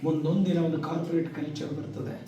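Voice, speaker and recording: A man giving a motivational speech to students, emphatic, with rhetorical repetition and deliberate pauses. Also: fairly high pitch, little pitch movement, dark tone. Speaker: guest speaker addressing schoolchildren. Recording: echoey, distant microphone, faint noise.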